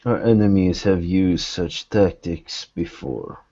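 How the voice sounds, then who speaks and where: A man speaking in character in a tabletop roleplay, with formal, measured wording, on muffled low-fidelity audio. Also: dark tone. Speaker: game master playing a character in a tabletop roleplay.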